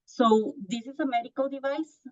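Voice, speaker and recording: A woman's voice: high voice, normal speed, a little mellow. Woman from costa rica, 30s, founder talking on a panel, earnest, kinda muffled sound. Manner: earnest, lots of restarts and fillers. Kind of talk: panel discussion answer. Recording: muffled lo-fi audio.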